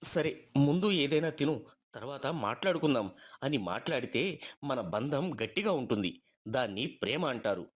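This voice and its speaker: A man's voice: medium pitch, sing-song, moderate pace, breathy edge. A man voicing an assertive village husband with a blunt, lecturing manner in a moral story. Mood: scolding.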